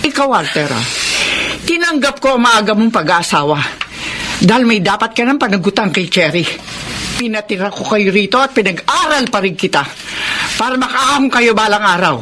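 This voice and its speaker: Strict middle-aged mother, Filipino accent, forceful, swooping intonation, radio soap, faint noise. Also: medium pitch, animated, normal speed. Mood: stern, reproachful. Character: stern, principled mother-in-law, middle-aged, protective. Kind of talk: Filipino radio drama acting. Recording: faintly noisy radio drama recording.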